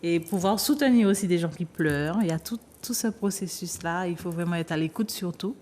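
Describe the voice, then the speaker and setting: A woman therapist, a guest on a talk show, explaining her work calmly with some hesitant fillers. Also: lowish voice, fairly quick, slight background noise. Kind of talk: interview answers.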